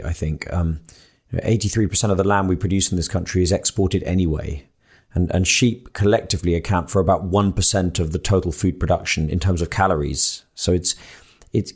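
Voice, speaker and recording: A man with a low voice hosts an interview podcast and talks in a thoughtful, conversational way. He often stumbles and repeats words as he starts his sentences. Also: a little mellow. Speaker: podcast host.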